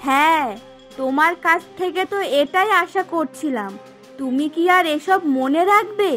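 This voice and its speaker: A woman's voice: very high-pitched, measured pace, a bit bright, soft background music. A woman voicing a spoiled young wife in a cartoon, sulky and demanding, with a complaining, sarcastic way of talking. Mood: sarcastic, annoyed. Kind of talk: Bengali cartoon voice acting.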